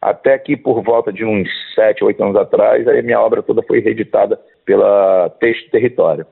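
Man in his fifties, low gravelly husky voice, hesitant casual talk, tinny phone line. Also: moderate pace. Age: 50s. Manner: hesitant, restarts often, ends lines with "né?". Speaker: songwriter and author guest. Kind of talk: casual interview answers.

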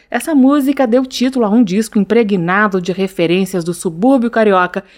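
A woman hosting a radio music program, introducing songs in a measured, informative announcing style. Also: mid-range voice, a little mellow. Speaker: radio music show host.

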